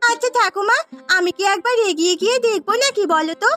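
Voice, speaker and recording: A woman's voice: extremely high pitch, fast, bright, forceful tone, faint music behind, pitched above the performer's own voice. A woman voicing an anxious, whiny young village girl, a fretful little sister in a cartoon story. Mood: worried. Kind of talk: Bengali cartoon story acting.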